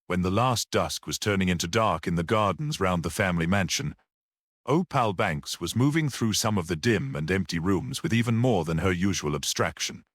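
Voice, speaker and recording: A man narrates a classic literary story in a low voice. His pitch moves up and down with lively variety, and the recording is close and dry, like an audiobook. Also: no room sound.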